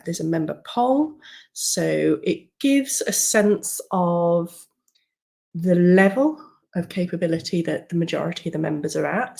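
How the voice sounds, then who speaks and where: A woman coaching writers in a webinar, slightly croaky from a cold. Her low voice has a gravelly fry, and she talks in a chatty way, full of ums and restarts. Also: a little mellow. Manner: chatty, with many fillers and restarts. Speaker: writing coach. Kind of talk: screen-share webinar walkthrough.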